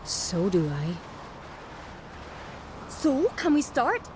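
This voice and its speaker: An actress voicing a bold, confident young noblewoman who talks briskly and teasingly. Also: on the high side, wildly swooping pitch, noisy background, full character voice. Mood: determined. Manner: brisk, teasing and sure of herself.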